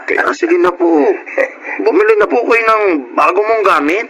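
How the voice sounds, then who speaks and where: Male actor as young Filipino boy, eager, earnest, high-pitched, bright tone, radio drama, faint noise. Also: huge pitch swings, normal speed, creaky, heavily acted. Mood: earnest, coaxing. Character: eager, cheerful schoolboy who loves drawing. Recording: noisy radio drama track.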